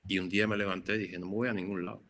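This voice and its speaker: A middle-aged man from Cuba speaks with a Cuban Spanish accent in a fairly low voice. He answers interview questions in an unhurried, reflective way. He often stumbles into restarts and repeats his words as he thinks. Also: flat delivery, fairly bright tone, slight background noise. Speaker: photographer, interview guest. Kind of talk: conversational interview.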